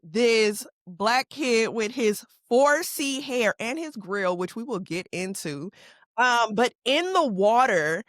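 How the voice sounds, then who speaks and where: A young woman from California hosting a casual interview, asking lively follow-up questions and affirming often. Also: fairly high pitch, slow pace, no echo, studio quality. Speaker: podcast host. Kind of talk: conversational interview.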